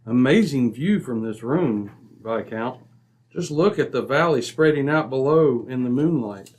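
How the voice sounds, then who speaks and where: A man playing a polite, observant gentleman detective with formal, measured wording in an old-time radio drama. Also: mid-range voice, expressive, close to an everyday voice. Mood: appreciative.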